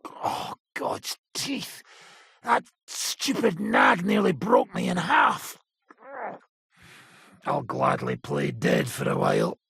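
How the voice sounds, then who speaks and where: An actor playing a gruff, battle-worn old robber baron in a video game, raspy, blunt, coarse and sardonic. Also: male voice, high voice, wildly swooping pitch, takes it slowly, pauses often, fairly bright tone, dry recording, exaggerated voice. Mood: weary, bitter. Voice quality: gravelly, very raspy. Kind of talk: video game character acting.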